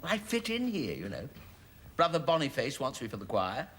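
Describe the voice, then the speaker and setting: A man's voice: mid-range voice, wildly swooping pitch, normal speed. A man playing a fussy, flustered middle-aged bachelor son who dithers and apologises with giggly asides. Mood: anxious. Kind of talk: sitcom acting. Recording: sitcom track with loud noise.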